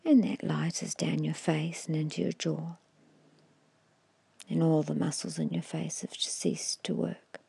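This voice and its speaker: Woman doing asmr hypnosis, super breathy whisper w some crackly fry, slow n soothing, gives gentle instructions. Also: low voice, fairly even intonation, no room sound. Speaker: hypnotist guiding a meditation. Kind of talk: ASMR guided hypnosis meditation.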